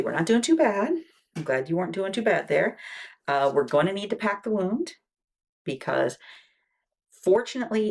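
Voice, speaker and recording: Low, husky-voiced woman in soft-spoken roleplay. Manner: soft-spoken, patient explanations with frequent "okay"s; drops to near-whispers between lines. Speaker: ASMR roleplay creator playing a wound care nurse. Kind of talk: ASMR medical roleplay.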